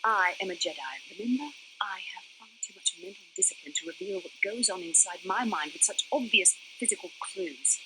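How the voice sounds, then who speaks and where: A woman's voice: medium pitch, lively intonation, fairly quick, hardly any pauses, background noise, natural voice. Woman acting a proud, earnest young Jedi heroine. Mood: haughty, indignant. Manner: formal, earnest, lecturing. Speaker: video game character. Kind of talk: dramatic video game dialogue acting.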